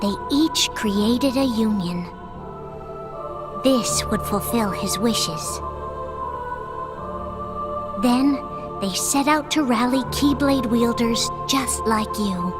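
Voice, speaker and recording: A woman reading scripted narration for a game cutscene in a calm, measured storytelling manner. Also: a little high. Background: loud game music.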